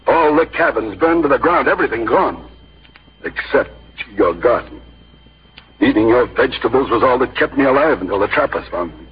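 A man's voice: medium pitch, lively intonation, moderate pace, slightly rough. A man in a 1950s radio drama playing a broken, disillusioned pioneer with skeptical, folksy speech. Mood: bitter. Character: weary, disillusioned pioneer, broken in body and spirit. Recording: dull old radio broadcast.

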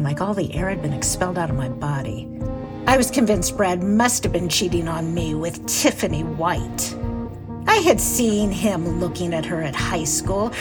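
A woman acting a weary, exasperated bus company owner in a dark comedy podcast, with a dry, self-deprecating manner. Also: fairly low pitch. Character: weary, wronged small-town woman running a bus company.

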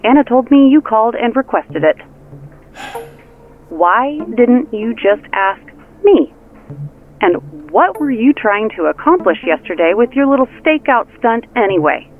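Exasperated female co-worker, curt and sarcastic, unhurried but very animated, muffled phone filter, music underneath. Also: a little high. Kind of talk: naturalistic audio drama acting.

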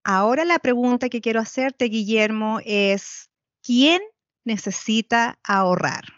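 A woman's voice: a little high, a little slow, dry recording, muffled recording. A woman hosting a podcast on personal finance, introducing her guest and guiding him with friendly questions. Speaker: podcast host. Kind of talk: podcast interview.

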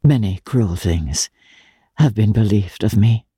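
A woman voicing a worldly, melancholy young countess, deliberate and gently ironic, in a dramatic reading. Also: a bass voice, expressive, slightly rough, no echo, studio quality, pitched below the performer's own voice, full character voice. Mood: wounded.

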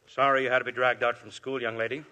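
An actor playing a shrewd police inspector who questions people with smooth persistence, in a 1950s radio drama. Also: male voice, mid-range voice, little pitch movement, quick pace, pushed and bright, dull audio, lightly acted. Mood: probing. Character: shrewd, probing middle-aged police inspector.

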